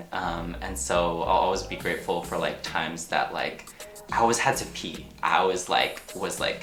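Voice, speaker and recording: An actor and artist speaking casually and haltingly in an interview, telling personal stories with frequent filler words. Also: mid-range voice, a bit bright. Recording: echoey room with music underneath. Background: music.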